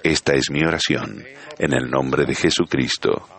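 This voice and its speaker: Brief: male preacher, very deep voice, solemn and measured. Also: fairly even intonation, creaky, fairly bright tone, slightly rough. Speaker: church leader giving a sermon. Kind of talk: sermon.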